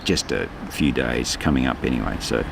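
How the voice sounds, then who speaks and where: A man's voice: a bass voice, moderate pace, gravelly. An older man with an Australian accent, a voice artist chatting in a casual interview, rambling and breaking off mid-sentence. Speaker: voice artist guest. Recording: noisy outdoor street ambience.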